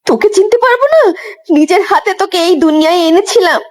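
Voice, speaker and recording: A woman playing a motherly village midwife in a horror audio story, with theatrical, drawn-out exclamations. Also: very high voice, animated. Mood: shocked, concerned.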